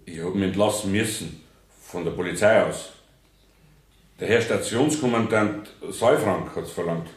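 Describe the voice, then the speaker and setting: An actor playing a plain-spoken Bavarian master carpenter giving testimony in a radio play, respectful but dryly resigned. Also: male voice, deep voice, unhurried. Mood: earnest, resigned. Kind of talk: German radio play courtroom acting. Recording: roomy 1970s radio drama studio.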